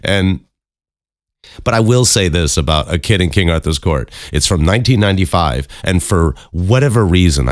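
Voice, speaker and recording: A man's voice: low-pitched, no echo. A man hosting a solo podcast in a casual, rambling, informal way, close to the microphone. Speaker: podcast host.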